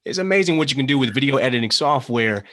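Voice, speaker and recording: A man's voice: mid-range voice, lively intonation, quick pace, a bit bright, lightly acted. A man acting a hot-tempered, desperate man in a crime drama table read, intense and foul-mouthed. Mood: defiant.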